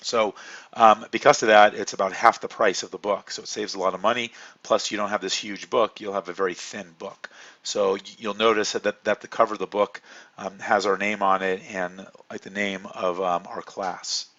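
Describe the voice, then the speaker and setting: A man with a hoarse voice from a cold, a chemistry instructor giving a relaxed, rambling course introduction full of fillers. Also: lowish voice, moderate pace, lo-fi sound.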